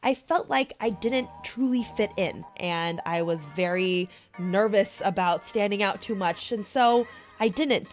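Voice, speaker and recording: A young adult American woman presenting a prepared motivational monologue as a host, explaining things and addressing the listener directly. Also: a little high. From United States, small town in Colorado. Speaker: podcast host.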